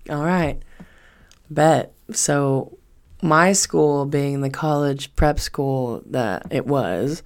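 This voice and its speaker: Woman in her twenties, low-pitched voice, rambling solo podcast host, emphatic, sweary, repeats herself for effect. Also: very slow. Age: 20s. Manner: swears freely, emphatic repetition.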